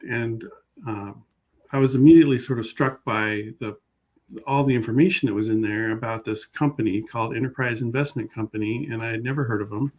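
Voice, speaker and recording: An American man from Oregon, a guest historian explaining things casually and haltingly, often repeating words as he starts. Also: medium pitch, a little mellow.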